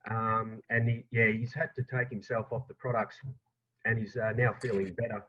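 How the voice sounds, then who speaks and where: An Australian man calling in to an online Q&A, explaining his question in a halting, hesitant way over a dull connection. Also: lowish voice, little pitch movement, pushed and bright. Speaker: caller. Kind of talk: asking a question in a live online Q&A.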